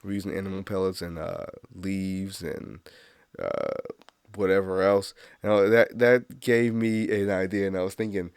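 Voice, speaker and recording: A man's voice: sits low, fairly even intonation, moderate pace, smooth, dry recording, clean studio sound. A man with a stuffy, congested nose rambling through a casual solo monologue, laughing at his own jokes. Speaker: podcast host.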